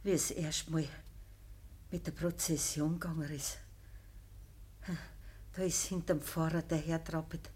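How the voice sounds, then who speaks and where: A woman playing a dying, middle-aged peasant mother in a radio play, humble and sorrowful, speaking halting Bavarian dialect in a weak voice. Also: deep voice, fairly even intonation, darkish tone. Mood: wistful. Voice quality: husky, weak. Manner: halting, deferential.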